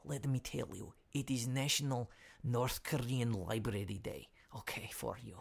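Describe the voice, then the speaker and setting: Gravelly, whispering Ukrainian correspondent. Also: male voice, mid-range voice, unhurried. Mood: hushed, urgent. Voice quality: hushed, breathy, gravelly. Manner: broken English, repetitive, nervously secretive. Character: anxious Ukrainian foreign correspondent man, whispering, hapless. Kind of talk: comic fake news field report.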